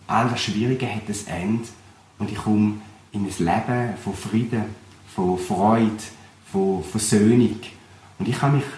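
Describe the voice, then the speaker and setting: Man, Thurgau Swiss German accent, pastor, earnest testimony, lowish voice, roomy sound. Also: darkish tone. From Switzerland. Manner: earnest, reflective, measured. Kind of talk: personal testimony. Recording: roomy recording with faint background noise.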